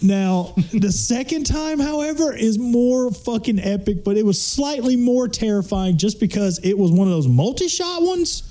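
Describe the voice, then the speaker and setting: Man, high pitch, mellow tone, slangy and profane, casual podcast storyteller. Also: animated, moderate pace, few pauses. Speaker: podcast crew member. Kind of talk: casual comedic storytelling chat.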